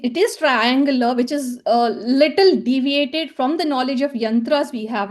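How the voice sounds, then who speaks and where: Woman presenter, fairly high pitch, hesitant and repetitive, lecture tone. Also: darkish tone. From South India. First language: Tamil. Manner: hesitant, many fillers and repeated words. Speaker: conference presenter. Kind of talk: academic presentation with slides.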